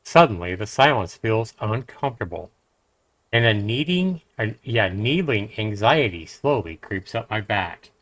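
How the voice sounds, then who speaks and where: A man's voice: on the low side, big pitch swings, measured pace, a bit bright, no room sound. A man streaming a game, reading a visual novel aloud and adding casual commentary.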